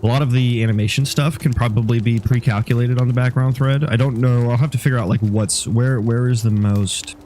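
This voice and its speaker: A man's voice: mid-range voice, fairly even intonation, a little fast, few pauses, dark tone, a little hiss or hum. A sniffly man, a game developer, talking casually through his code and rambling as he thinks aloud. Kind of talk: casual dev log.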